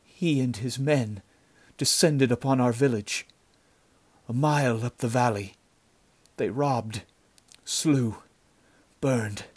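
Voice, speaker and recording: A man voicing a frightened, dying young village girl in a storybook reading, with weak, breathy gasps. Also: medium pitch, slow, pauses often, a little mellow, no room sound, voice pushed up. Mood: gasping, weak.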